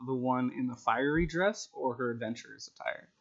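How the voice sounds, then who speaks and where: A man's voice: mid-range voice, normal speed, vocal fry. Male streamer with slightly muffled sound. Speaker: streamer reading own short stories. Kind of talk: story narration and casual livestream chat.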